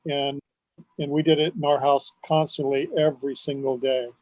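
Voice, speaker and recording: A man's voice: medium pitch, flat delivery, normal speed, darkish tone, no room sound, rough recording. An older man being interviewed, reminiscing about what he remembers. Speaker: interview guest.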